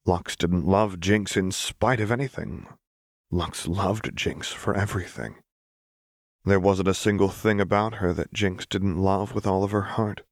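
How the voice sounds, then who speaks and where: A man's voice: low-pitched, steady tone, unhurried, dry recording, clean studio sound. A man reading scripted fiction as an audiobook narrator. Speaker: audiobook reader.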